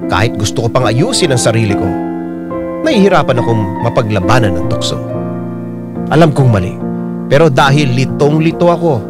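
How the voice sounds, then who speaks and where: A man's voice: mid-range voice, big pitch swings, brisk, long pauses, loud music behind the voice, natural voice. A male actor playing a troubled, defensive unfaithful young husband in a radio drama, both narrating and in dialogue. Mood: anguished, confused. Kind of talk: Filipino radio drama acting and first-person narration.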